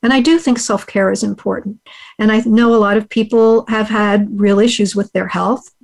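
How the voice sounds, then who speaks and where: A woman speaking as an interview guest, earnest and measured, on a video call, a Hungarian speaker from childhood. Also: medium pitch, steady tone, creaky, a little mellow. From United States. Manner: earnest, measured, uses frequent fillers. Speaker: podcast guest, investor and author. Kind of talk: reflective interview answers.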